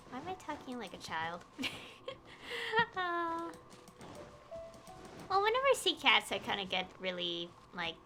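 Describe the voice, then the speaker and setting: A woman game streamer chatting casually on a livestream in a rambling, conversational way. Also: a little high. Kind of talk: casual livestream chat over gameplay.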